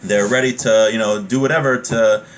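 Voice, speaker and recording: A man's voice: lowish voice, steady tone, moderate pace, slight background noise. A man giving an informal religious law lesson, reading from a text and explaining it conversationally with repeated points and everyday examples.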